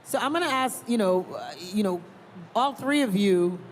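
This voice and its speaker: A woman originally from Liberia moderating a live panel, chatty and quick to laugh. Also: fairly high pitch, animated, a little hiss or hum. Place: large convention hall.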